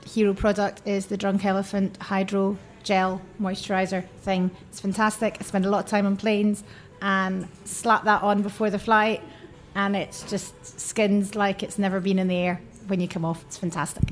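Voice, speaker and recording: Flat-toned woman from Scotland. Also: mid-range voice, moderate pace, few pauses.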